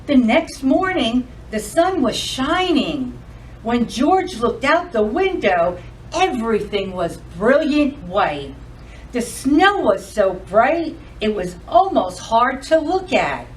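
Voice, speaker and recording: A woman hosting a children's storytime, reading aloud and addressing young kids in an expressive, sing-song, deliberate way. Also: a little high, distant mic, slight background noise, low-quality recording. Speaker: library storytime host.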